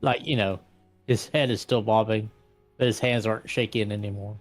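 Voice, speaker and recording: A man's voice: on the low side, fairly even intonation, normal speed, faint music behind. A man playing a tabletop roleplaying game, describing his character in a casual, conversational way.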